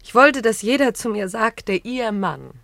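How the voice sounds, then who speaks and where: An actress playing a grief-stricken, self-blaming widow in a German radio play, with a brooding, hesitant, confessional manner. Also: female voice, a little high, animated, a little slow, a bit bright, no room sound. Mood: anguished, confessing.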